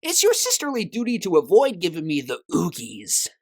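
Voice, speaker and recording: A woman's voice: lowish voice, wildly swooping pitch, normal speed, a little mellow, dry recording, full character voice. Girl doing a goofy teen brother, theatrical n jokey, swings all over, dry close sound, cartoon dub. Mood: mock indignant. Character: goofy, joking teenage boy, protective brother. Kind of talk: animated comic dub acting.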